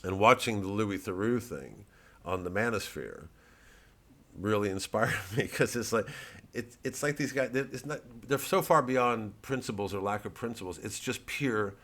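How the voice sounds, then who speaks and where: A man, a satirical comedian, rambling casually and thoughtfully in a halting, digressive monologue with some swearing. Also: on the low side, expressive, a bit bright. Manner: halting, ruminative, digressive, profane.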